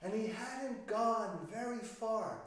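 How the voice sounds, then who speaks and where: Slow, animated male storyteller in echoey room. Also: high-pitched. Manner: slow, expressive, dramatic pauses, addresses the audience directly. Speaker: children's storyteller. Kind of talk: animated storytelling for young children. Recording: roomy, echoey, distant microphone.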